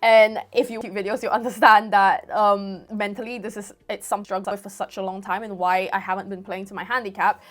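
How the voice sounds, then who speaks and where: A woman's voice: mid-range voice, flat delivery, fairly quick, hardly any pauses, fairly bright tone. A woman in her twenties with a Singaporean accent tinged with British, chatting casually to camera and rambling as she answers viewer questions. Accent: Singaporean with a light British mix. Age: 20s. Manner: chatty, rambling, self-correcting. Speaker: golf YouTuber. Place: room at home with football shirts on the wall.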